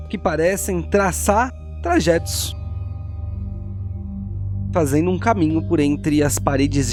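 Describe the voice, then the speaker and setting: A Brazilian man narrating a tabletop game as game master, describing scenes in a measured way with deliberate pauses. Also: fairly high pitch, a little mellow. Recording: music behind.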